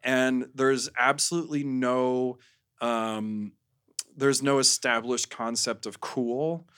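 Man casually telling stories, halting delivery. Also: medium pitch, fairly even intonation, fairly bright tone, clear, resonant tone. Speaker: podcast guest. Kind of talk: casual candid storytelling.

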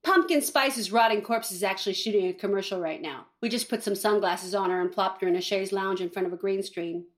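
A woman's voice: medium pitch, lightly acted. A woman playing a callous, cynical reality-show producer with a sardonic manner in a campy comedic audio drama. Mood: breezy, callous. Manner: sardonic, brisk, shady.

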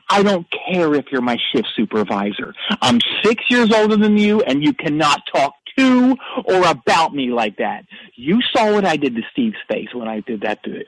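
An actor playing a brash, combative caller who rambles about rock music and picks fights. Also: male voice, sits high, no room sound, poor audio. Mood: belligerent. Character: brash hotheaded man obsessed with rock. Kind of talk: comedic character phone call.

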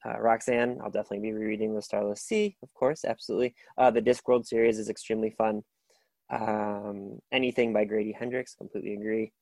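A man with a low, gravelly voice hosts a book podcast on his own. He rambles warmly through his picks, full of ums and restarts. The sound is close and dry. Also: flat delivery, fast talker, no echo. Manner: rambling, filler-heavy, enthusiastic. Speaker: podcast host. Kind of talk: casual solo book recommendations.

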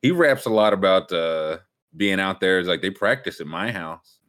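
A man hosting a casual sports podcast, talking in a conversational, halting way. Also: low-pitched, animated, fairly bright tone. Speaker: show host.

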